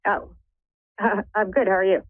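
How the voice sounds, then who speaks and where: A woman in her forties calling in to a radio show, soft-spoken and often laughing. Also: mid-range voice, steady tone, unhurried, no echo. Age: 40s. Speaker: caller. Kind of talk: phone call-in. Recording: tinny phone line.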